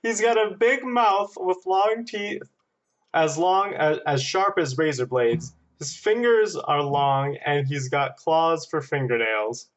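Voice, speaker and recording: A man's voice: fairly high pitch, slow pace, highs cut off. A man reading listener stories aloud in casual banter, with a playful, amused storytelling manner. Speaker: podcast guest and editor.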